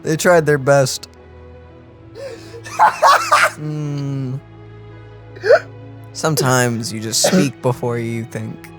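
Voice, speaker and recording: A man's voice: mid-range voice, steady tone, very slow, pauses often. A man doing casual let's play banter with joking, sarcastic asides. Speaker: gaming video co-host. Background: game music.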